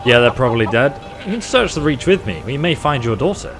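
A man commentating his own fantasy game playthrough, excitable and exclaiming through the fights. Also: medium pitch, a little fast. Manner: excitable, exclaiming and role-playing in combat. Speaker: gaming YouTuber. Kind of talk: let's-play commentary. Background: loud game music.

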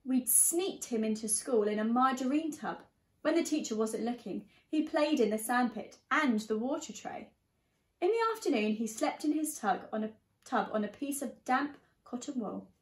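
The room rings noticeably around a young woman's fairly high voice. She reads a children's picture book aloud like a primary teacher, expressively and directed at young listeners. The microphone sits a short way off from her. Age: young adult. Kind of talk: storybook read-aloud. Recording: echoey room sound.

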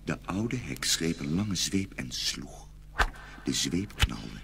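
A man tells a dark fairy tale as a dramatic narrator. His voice is extremely low, with a heavy creaky grain. Animal-like ambient noise is clearly audible behind him. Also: sing-song, slow.

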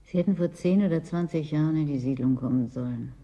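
A woman's voice: low voice, quick pace, dark tone, lo-fi sound. An actress playing a wistful, fretful woman clerk in a radio drama, with a brooding, uneasy manner. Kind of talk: German science-fiction radio drama acting.

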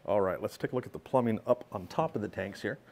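A man with a fairly low voice walks through a build in his workshop, talking casually as he films on a handheld phone. He explains each part plainly and hands-on, like a builder showing a customer around. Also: fast talker, darkish tone, a little hiss or hum. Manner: explaining hands-on while filming himself. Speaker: pressure washing skid builder. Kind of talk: casual walkaround tour.

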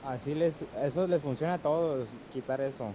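A man's voice: a little high, fairly quick, background noise. Laid-back young man streaming a game. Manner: laid-back, coaching teammates. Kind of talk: casual gaming chat. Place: small room at home.

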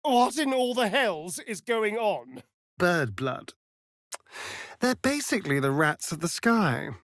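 A man's voice: sits high, sing-song, slow, a bit bright, no room sound, clean studio sound, heavily acted. A man playing a sardonic, theatrical male vampire rogue in a video game, with an arch, drawling delivery. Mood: wry, disdainful. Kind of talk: video game character acting.

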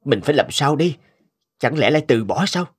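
A man voicing a lively, devoted cartoon dad. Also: medium pitch, brisk, close to an everyday voice. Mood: determined. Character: devoted, determined inventor father, adult man. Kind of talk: animated cartoon dubbing. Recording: music behind the voice.

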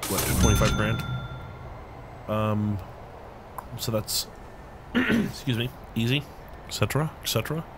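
A man of middle pitch narrating his gameplay in a loose, rambling way, humming little filler tunes and thinking aloud between moves. His voice is slightly scratchy as he gets over an illness. A clear hum of background noise sits behind him. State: recovering from illness, a bit scratchy. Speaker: let's play host. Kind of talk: casual gameplay commentary.

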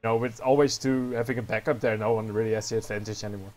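A man's voice: lowish voice, fairly even intonation, rapid-fire, a little mellow, soft background music. A man casting esports in a chatty style, often laughing with his co-caster. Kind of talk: esports commentary.

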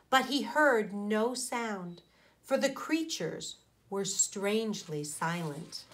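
A woman, a teacher reading a children's storybook deliberately and expressively. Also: a little high. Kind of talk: storybook read-aloud for children. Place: small indoor room.

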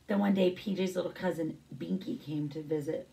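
Middle-aged female teacher for storybook read-aloud, low creaky voice, picked up from a little way off. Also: a little fast, dark tone, far from the mic, slight background noise, rough recording. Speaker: teacher reading a picture book aloud.

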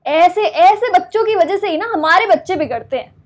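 High-pitched scolding young woman. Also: lively intonation, normal speed. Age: 20s. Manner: scolding, indignant, talking over the other person. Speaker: prank caller. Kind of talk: prank phone call, playing an indignant mother. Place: small curtained room indoors. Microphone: clip-on lapel mic.

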